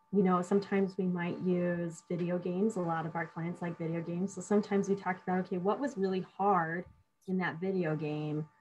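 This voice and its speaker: Woman, speech-language pathologist, low-pitched, casual conversation, faint background noise. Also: a little mellow, lo-fi sound. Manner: explains by talking through client examples.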